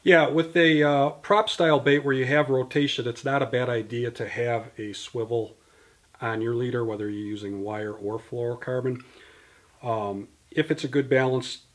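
A man's voice: medium pitch, steady tone, normal speed. Man in his fifties explaining tackle. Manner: detailed, explanatory, gesturing with products in hand. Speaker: fishing tackle company guest. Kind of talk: product explanation interview. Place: indoor studio in front of a banner.

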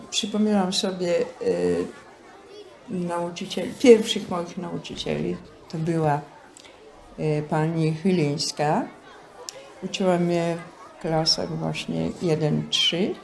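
Slow, low-voiced older woman reminiscing. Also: a little mellow. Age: 60s or older. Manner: halting, reflective. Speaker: senior sharing memories. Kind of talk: spoken reminiscence.

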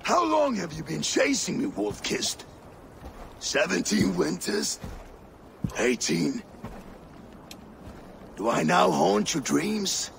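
A man's voice: high voice, big pitch swings, gravelly, a bit bright, slight background noise, exaggerated voice. A male actor playing a cruel, gloating middle-aged Viking warlord in a video game, taunting with menace. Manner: menacing, taunting, drawn-out delivery. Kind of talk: dramatic video game acting.

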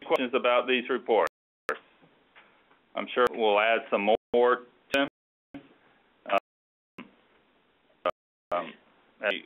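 Man, lowish pitch, halting explanatory delivery, conference-call presenter, tinny telephone audio. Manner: halting, frequent hesitations. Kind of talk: informal explanatory webinar presentation. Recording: narrowband tinny phone line.

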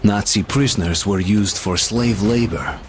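Actor as low-voiced weary survivor narrator. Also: male voice, measured pace, lightly acted. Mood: somber. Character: weary young male survivor narrating his journey. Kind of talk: scripted game narration. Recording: music behind the voice.

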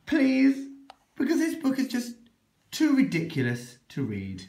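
A man's voice: very high-pitched, huge pitch swings, measured pace, gravelly, darkish tone. A man reading a children's book aloud in a theatrical, exaggerated, mock-exasperated way. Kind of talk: animated children's story read-aloud. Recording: echoey room.

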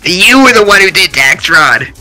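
A man's voice: high voice, big pitch swings, moderate pace, pushed and bright, faint music behind, exaggerated voice, low-quality recording. A man voicing a sarcastic, cocky hedgehog hero in an improvised parody fandub, with teasing casual banter.

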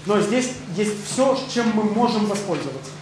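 A man's voice: high voice, big pitch swings, moderate pace. A young man working as a consecutive interpreter at a seminar, rendering a speaker's talk phrase by phrase. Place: seminar room with seated audience. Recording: very echoey room, noisy.

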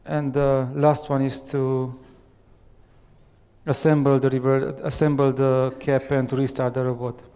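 A man in his thirties with a Romanian accent gives a live software demo on a headset mic, hesitant and full of fillers. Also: mid-range voice, level tone, unhurried, smooth. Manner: hesitant, many fillers and restarts. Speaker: software architect giving a demo. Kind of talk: live tech demo presentation. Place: large conference room with audience. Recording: long reverb of a large hall.

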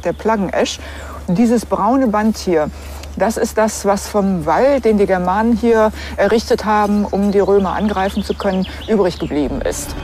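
A woman's voice: mid-range voice, darkish tone, slight background noise. A woman speaking as an archaeologist, explaining in a matter-of-fact interview style.

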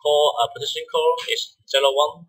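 Bright-voiced man giving a halting software tutorial. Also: fairly high pitch, wildly swooping pitch, slightly rough. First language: not English. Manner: halting, repeating words. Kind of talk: step-by-step screen-recorded software tutorial.

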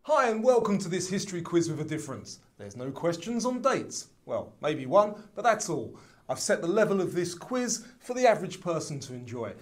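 A man's voice: sits high, big pitch swings, moderate pace. A middle-aged man reading scripted quiz narration as the presenter. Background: background music.

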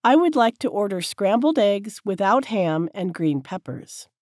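A woman teacher reading short sentences slowly and clearly, each one said several times for learners to repeat. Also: medium pitch, sing-song, a little mellow, dry recording, studio quality. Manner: careful, clear enunciation. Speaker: English teacher. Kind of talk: slow repeated drill sentences.

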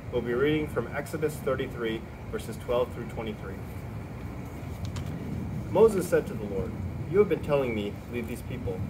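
A man in his thirties reading scripture aloud outdoors. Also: mid-range voice. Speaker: scripture reader. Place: outdoors in front of a garage. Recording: steady outdoor ambient noise.

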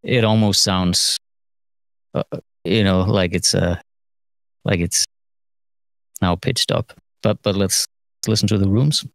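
A middle-aged man thinking aloud through an informal studio tutorial, halting and musing, with trailing phrases. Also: deep voice, gravelly, slight background noise. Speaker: mixing engineer giving a lesson. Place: recording studio, seated at desk.

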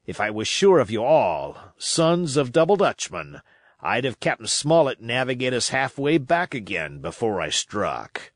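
A man's voice: mid-range voice, very animated, measured pace, dry recording, clean studio sound. A man reading a storybook, voicing a shrewd, domineering pirate sea cook with salty, emphatic sailor talk. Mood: scornful.

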